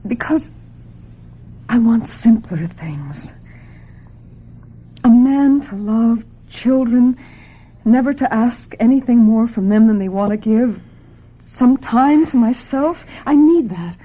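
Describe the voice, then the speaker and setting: An actress playing a vulnerable young film actress in a radio drama, breathy, hesitant and plaintive as she resists pressure. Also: on the high side, animated, slow, long pauses, full character voice. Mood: wistful, vulnerable. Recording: dull, muffled old radio drama recording.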